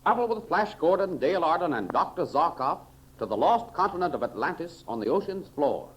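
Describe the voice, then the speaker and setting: Male 1930s serial announcer. Lively swings of pitch, emphatic scripted delivery, faint hiss of an old broadcast recording. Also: fairly quick, poor audio. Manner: emphatic, declamatory. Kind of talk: 1930s radio announcing, scripted narration and advertising. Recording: hissy 1930s radio recording.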